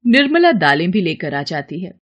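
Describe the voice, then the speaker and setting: A woman's voice: lowish voice, very animated, normal speed. A woman narrating a scripted devotional story as a storyteller.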